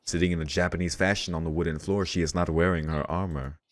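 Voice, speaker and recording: A man's voice: low voice, fairly even intonation, fast, dry recording. A man reading a visual novel aloud as a let's play host, with casual reactions and game music behind him. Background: visual novel soundtrack.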